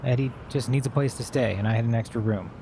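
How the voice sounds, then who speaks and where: An actor playing a cautious, anxious amateur hacker in a naturalistic podcast drama, hesitant and defensive. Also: male voice, mid-range voice, fast talker, dark tone, slight background noise, natural voice, poor audio. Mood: guarded. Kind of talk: naturalistic fiction podcast acting.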